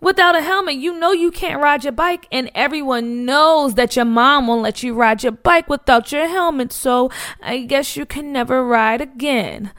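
A woman's voice: sits high, normal speed, a bit bright, no room sound, clean studio sound, pitched above the performer's own voice. A woman voicing a smug neighborhood bully boy who taunts and mocks. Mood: taunting. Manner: taunting, mocking.

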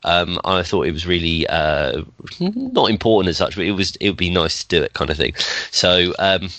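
A man's voice: sits low, very animated, normal speed, a bit bright, no room sound. A man hosting a casual podcast, chatty and laughing often, a bit nasal from a cold. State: has a cold, slightly nasal. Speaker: podcast host.

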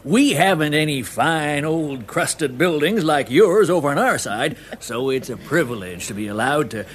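Actor as genial, high-pitched American railway magnate. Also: male voice, lively intonation, normal speed, close to an everyday voice. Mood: flattered. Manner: folksy, effusive, courteous. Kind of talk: classic radio drama acting.

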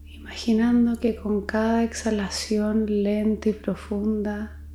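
A woman leading a guided meditation, speaking gently and soothingly in a soft voice with long pauses. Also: mid-range voice, level tone, echoey room. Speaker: psychologist guiding meditation. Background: music.

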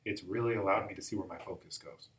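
A man's voice: sits low, steady tone, fast, fairly bright tone. A young man who works as a life coach, talking earnestly and emphatically in a casual chat.

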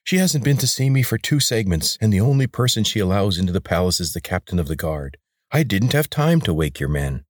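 Narrator doing a measured, wary temple priest, close to his own voice. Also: lowish voice, dry recording. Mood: calm. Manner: calm, measured. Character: scheming male temple priest, calm and wary.

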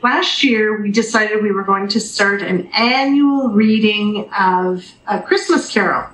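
A Canadian woman speaks as a theatre director being interviewed, in an upbeat, conversational way. Her mid-pitched voice comes over a video call with a noticeably roomy sound and faint background noise. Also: measured pace, fairly bright tone. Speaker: theatre company executive director, radio guest.

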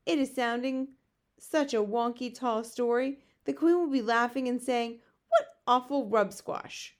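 Woman acting a gentle, gloomy giant with muddled words. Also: a little high, unhurried, voice pushed up, close to an everyday voice. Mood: dismissive. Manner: muddled homespun grammar with invented words. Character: big friendly giant, male, gentle, gloomy, word-mangling. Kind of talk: children's storybook character reading.

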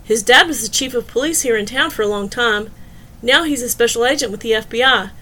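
A woman's voice: on the high side, fairly quick, bright, forceful tone. A woman narrator voicing a wry, friendly, unruffled female bartender in an audiobook, teasing and matter-of-fact. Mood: informative.

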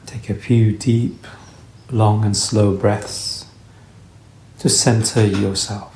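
A man leading a guided meditation, speaking in short, soothing, gentle instructions. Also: fairly low pitch, flat delivery, slow. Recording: roomy echo, faint background noise.

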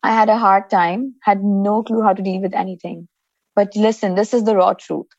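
A woman's voice: mid-range voice, steady tone, moderate pace, a little mellow, dry recording. Frank woman in her 30s, muffled dry recording. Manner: frank, earnest, plain-spoken. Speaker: podcast guest, founder. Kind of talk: candid personal storytelling.

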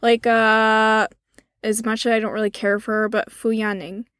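A woman with a slightly deep voice in a casual chat, agreeable and hesitant, searching for words. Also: level tone, moderate pace, a bit bright, no room sound, studio quality. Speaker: co-host.

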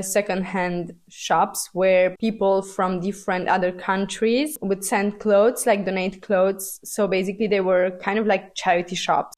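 A young woman, a Romanian speaker, talks in a mid-range voice. She hosts a personal podcast in a casual, conversational way, as if thinking aloud. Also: mid-range voice. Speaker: podcast host.

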